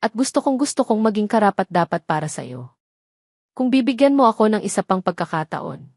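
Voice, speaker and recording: A woman's voice: medium pitch, animated, fairly quick, a little mellow, no room sound, clean studio sound. A woman voicing an earnest, remorseful man in love in a romantic audio drama. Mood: pleading, heartfelt.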